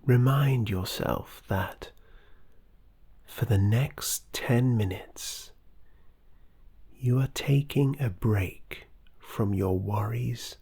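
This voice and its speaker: Meditation guide, mid-pitched, husky and breathy, extremely slow guided-relaxation delivery with long pauses. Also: dark tone.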